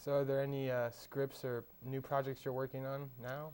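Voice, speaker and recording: A man's voice: medium pitch, little pitch movement, moderate pace, darkish tone, clear, resonant tone. A young man hosting a student show, reading upbeat scripted links and interviewing a guest. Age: young adult. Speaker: student host and presenter.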